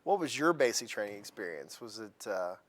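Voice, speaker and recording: A man's voice: lowish voice, sing-song, fairly quick, a bit bright. A man interviewing a guest in a chatty, friendly way, asking questions and adding asides. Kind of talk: oral history interview.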